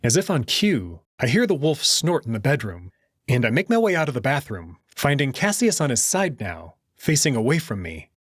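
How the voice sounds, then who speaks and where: A man's voice: medium pitch, very animated, moderate pace, no echo. A man reading a visual novel as dramatic first-person scripted narration. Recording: dry close voice over faint music.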